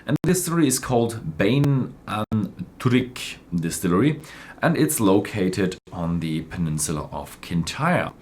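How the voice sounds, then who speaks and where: A man presenting news informally as a host, speaking in a halting way and correcting himself as he goes. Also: lowish voice, very animated, darkish tone, a little hiss or hum.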